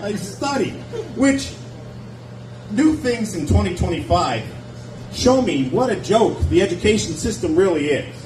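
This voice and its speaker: Wry forties comic in an echoey club. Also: male voice, high-pitched, animated, unhurried, over music. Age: 40s. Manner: sardonic, wry asides, chatty with the audience. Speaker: stand-up comedian. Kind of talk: stand-up comedy set with crowd work. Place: nightclub stage, indoors. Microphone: handheld stage mic. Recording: echoey club recorded from a distance, with background noise.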